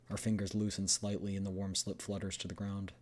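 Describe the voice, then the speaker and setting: A man's voice: deep voice, monotone, brisk, darkish tone. A man streaming a game, reading the story aloud and chatting casually as he thinks aloud. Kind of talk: game readthrough with casual commentary.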